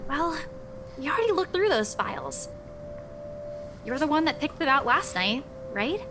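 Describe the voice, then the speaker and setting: A man voicing a calm, composed young woman from a horror visual novel. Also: very high voice, normal speed, noisy background, pitched above the performer's own voice, heavily acted. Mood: earnest, insistent. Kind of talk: visual novel character reading.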